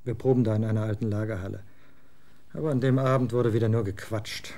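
A man playing a scornful young rocker, gravelly. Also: on the low side, natural voice. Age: 20s. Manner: slangy, scornful, quick to get excited. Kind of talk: German radio drama acting.

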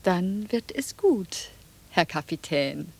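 A woman's voice: fairly low pitch, sing-song, takes it slowly, creaky, breathy edge, exaggerated voice. An actress playing a refined, teasing, self-possessed lady in a German radio play, speaking in a playful, genteel way. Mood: composed, commanding.

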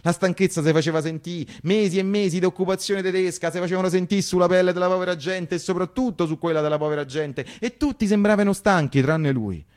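A male actor plays a streetwise Roman man telling tales of his reckless boyhood in the war. He talks very fast in a fairly high male voice, with thick colloquial dialect. It is a theatrical radio monologue, animated and full of emphatic repetitions. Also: close to an everyday voice. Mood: grave, emphatic. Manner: animated storyteller, colloquial, repeats phrases for emphasis.

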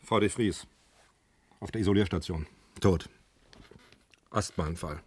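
A man acting a hospital doctor in a German radio drama, with a husky low voice. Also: a little slow. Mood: shocked, grave. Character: man, hospital doctor, intimate with his partner, shaken.

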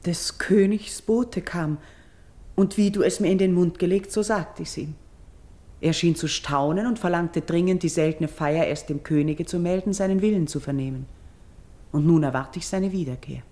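An actress playing a gentle, troubled priestess of Greek myth in a classical verse radio drama, speaking solemnly and lyrically. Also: female voice, fairly low pitch, lightly acted. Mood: grave, reporting. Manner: solemn, lyrical, deliberate stage diction.

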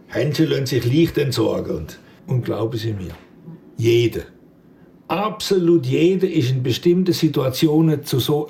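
An actor playing a suspicious, stubborn small-town police investigator with a southwest German accent from Baden, pointed and probing in a radio drama. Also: male voice, medium pitch, gravelly, darkish tone, slight background noise.

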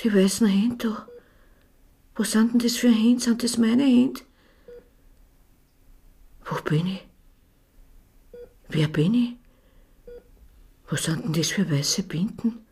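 Slow, breathy, dazed wounded woman. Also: mid-range voice, warm tone, full character voice. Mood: dazed, weak. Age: 50s. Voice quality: breathy, often whispering. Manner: halting, repetitive, dazed questioning. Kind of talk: radio drama acting.

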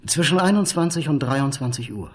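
A man's voice: mid-range voice, expressive, brisk, natural voice. A man playing a precise, matter-of-fact police doctor in a 1970s radio crime drama. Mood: clinical.

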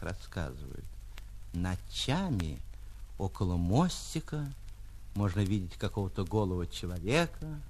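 A man, an actor reading a literary short story in an expressive, theatrical narrating style. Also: on the low side, low-quality recording. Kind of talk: dramatic literary narration with acted dialogue.

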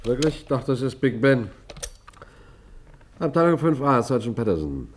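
An actor playing a police sergeant who recounts an old London case in measured, polished radio drama narration. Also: male voice, mid-range voice, big pitch swings. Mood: alert.

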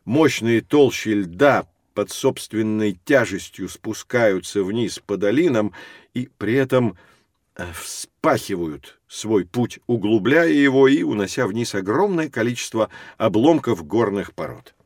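An actor playing a lively, patient professor who explains things expressively to children in an educational audio drama. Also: male voice, fairly low pitch, unhurried, no echo, studio quality. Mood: patiently explanatory.

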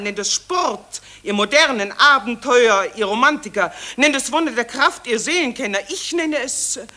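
A self-important lady war reporter in a satirical radio play. Her voice is high and bright, swooping widely as she declaims in grand, affected phrases, thrilled by the battle. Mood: exalted. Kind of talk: satirical radio drama acting.

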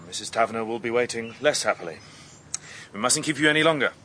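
A man's voice: mid-range voice, lively intonation, quick pace, pushed and bright, a little hiss or hum. An actor playing a brooding, resolute young Englishman in a radio drama, with a grave, clipped, intimate delivery. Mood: subdued. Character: brooding young man, resolute, newly hardened.

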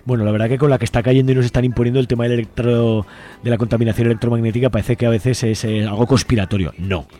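A man's voice: mid-range voice, steady tone, fast, mellow. A man explaining things in a radio interview, often ending statements with a questioning tag. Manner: tags statements with questioning 'no?'. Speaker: environmental activist guest.

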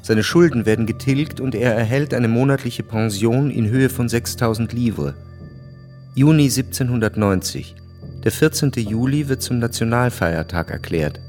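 An actor as a detached chronicler, narrating a historical radio drama in a factual, measured manner. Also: male voice, mid-range voice, darkish tone, faint music behind, natural voice. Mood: sober. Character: sober, detached male chronicler of historical events.

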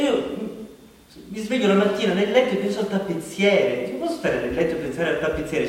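High-voiced man, acted anxious and self-questioning, halting theatre monologue, long hall reverb, faint noise. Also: a little mellow, lightly acted. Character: anxious man haunted by struggling shopkeepers. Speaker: stage actor. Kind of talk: theatrical monologue broadcast on radio. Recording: very echoey hall, distant mic, faint noise.

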